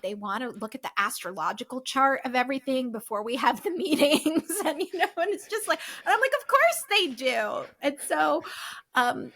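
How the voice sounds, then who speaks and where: A woman's voice: on the high side, vocal fry, fairly bright tone. A woman in her forties from the United States, a guest on an interview podcast, talking reflectively and animatedly with many fillers and restarts.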